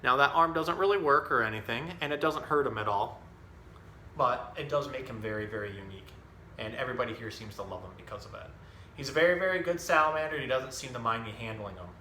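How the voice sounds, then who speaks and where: Bright-voiced man in an echoey room. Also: medium pitch, fast talker. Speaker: zookeeper and storytime host. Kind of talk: casual animal education talk and children's picture book reading. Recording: roomy echo with some background noise.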